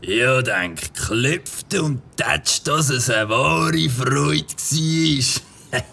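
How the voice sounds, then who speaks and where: A carefree, sing-song motorbike tinkerer, played by a man speaks in a broad Swiss German accent. His voice is gravelly and bounces through a wide melodic range. He talks at an unhurried pace, as in a children's audio drama. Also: medium pitch, animated, pushed and bright, heavily acted. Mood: breezy. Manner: cheerful, carefree. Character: jolly middle-aged male motorbike tinkerer.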